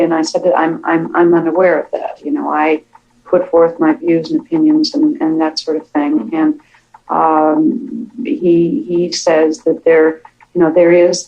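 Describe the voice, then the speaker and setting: A woman's voice: lowish voice, a little mellow, highs cut off. A woman speaking as a television writer in a reflective interview, choosing her words carefully and hesitantly.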